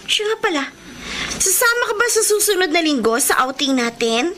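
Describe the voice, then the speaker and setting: A woman's voice: very high-pitched, big pitch swings, normal speed, background noise. A woman with a Filipino accent playing a playful, frank schoolgirl best friend in a radio drama. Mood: curious.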